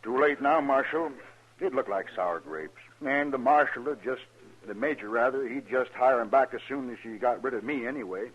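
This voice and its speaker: An actor playing a resentful, folksy army storekeeper in a 1950s radio western. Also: male voice, medium pitch, moderate pace, a bit bright, husky. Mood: confiding. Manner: folksy, plain-spoken western speech. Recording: dull vintage radio recording.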